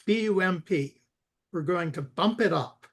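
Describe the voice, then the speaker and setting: A man giving informal step-by-step coding instruction, halting and repeating words as he guides someone through it. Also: sits high. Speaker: software expert guiding a coding session.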